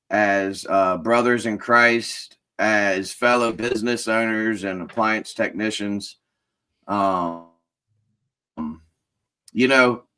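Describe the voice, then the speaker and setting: Male host, informal and rambling, slightly stuffy and sniffling; lowish pitch, bright tone. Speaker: host of a business owners' live chat. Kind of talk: casual chat and spoken prayer.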